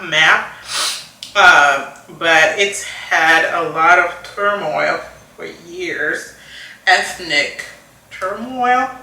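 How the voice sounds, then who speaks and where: A woman from Rwanda in her thirties talks slowly and softly through a makeup routine, her bright voice drifting through fillers and restarts. She sits back from the camera mic in an echoey room. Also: lowish voice, vocal fry, recorded from a distance. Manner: soft-spoken, rambling, many fillers and restarts. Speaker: beauty vlogger. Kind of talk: casual chat while doing makeup.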